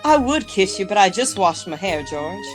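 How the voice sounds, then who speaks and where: A woman's voice: medium pitch, sing-song, moderate pace, natural voice. A woman playing a sardonic, dismissive wife in a comic radio play, with theatrical, dry delivery. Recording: music playing under the voice.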